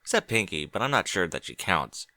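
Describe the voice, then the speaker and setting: Young male storybook dragon played by a man: chatty, questioning, slightly unsure, animated pitch, dry close sound. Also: a little fast, no echo, full character voice. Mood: casual, teasing. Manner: colloquial, hesitant, questioning. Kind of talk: audiobook character dialogue.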